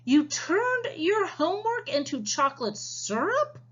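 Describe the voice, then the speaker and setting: Woman as a patient, slow-spoken magic-school teacher. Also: very high-pitched, very animated, dull audio, voice pushed up, full character voice. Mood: surprised, questioning. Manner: explanatory, questioning. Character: patient female magic-school teacher explaining science in storybook.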